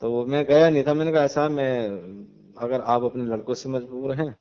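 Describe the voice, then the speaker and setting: A man, an imam, speaking on a phone call interview in a measured, preachy way, slowing down to stress moral points. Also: medium pitch, fairly even intonation, smooth. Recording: muffled phone call audio.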